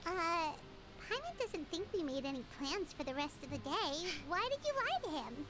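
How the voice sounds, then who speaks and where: A woman's voice: extremely high pitch, very animated, moderate pace. A woman voicing a tiny childlike floating girl companion in a video game, chirpy and naive. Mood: puzzled, curious. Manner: refers to self in third person. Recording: loud background noise.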